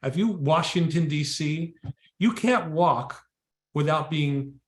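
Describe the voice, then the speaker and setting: A man's voice: a little high, slow. Echoey American man discussing politics. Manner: emphatic, repetitive phrasing with rhetorical questions. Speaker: co-host, political activist. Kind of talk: conversational political commentary. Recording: echoey room sound.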